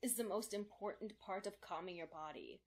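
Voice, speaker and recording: A patient but exacting unicorn mentor, acted by a woman, with a mid-range female voice. She instructs formally and calmly, measuring out each point as in a cartoon fan drama. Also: medium pitch, moderate pace, natural voice. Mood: calm, instructive. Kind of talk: fan fiction audio drama acting.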